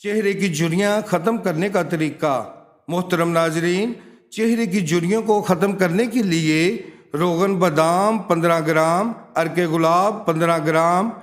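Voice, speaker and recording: A man reading scripted tips and sayings as a voice-over narrator, in a measured, instructional way. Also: sits high. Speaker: narrator of a home remedies and quotes channel.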